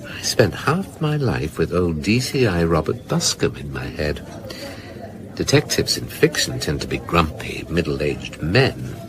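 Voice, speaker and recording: Low british guy a smug evasive writer in a radio play, lots of up and down in it. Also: sing-song, a little slow, a crowd in the background, heavily acted. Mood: flirtatious. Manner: evasive, drily flippant. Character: smooth, self-assured middle-aged male crime novelist. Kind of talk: naturalistic radio drama acting.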